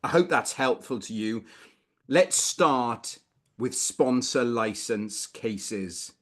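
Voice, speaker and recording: A man's voice: mid-range voice, animated, slow, fairly bright tone. Male, middle-aged, from Wales, mid-range voice. Legal webinar presenter, conversational and informal, on a video call. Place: small room indoors, video call.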